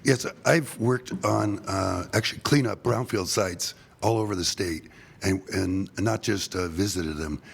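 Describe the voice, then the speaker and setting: An older man running for office, giving a halting forum statement, his voice scratchy from a cold. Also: sits low, creaky, breathy edge. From Seattle. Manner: halting, many ums and uhs. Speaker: political candidate.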